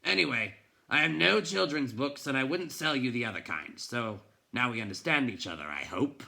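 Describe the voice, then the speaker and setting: A man voicing a cross, gruff old storybook bookseller who grumbles and scolds with blustery scorn. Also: medium pitch, lively intonation, moderate pace, bright, forceful tone, voice pushed up, exaggerated voice. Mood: cross, scolding. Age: older. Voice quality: gruff, growling.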